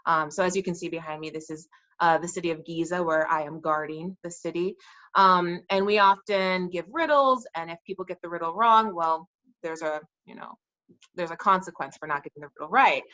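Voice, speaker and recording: A woman playing a calm, friendly sphinx. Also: fairly low pitch, dull audio, lightly acted. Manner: relaxed, conversational, many "um" and "like". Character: calm, friendly sphinx guardian, grown female. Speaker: interview guest. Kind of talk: improvised role-play for kids.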